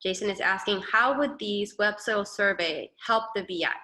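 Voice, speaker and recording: Webinar moderator, a woman with a bright, crisp voice, reads questions. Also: medium pitch, moderate pace. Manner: reads out audience questions, friendly thanks. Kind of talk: moderating a live Q&A.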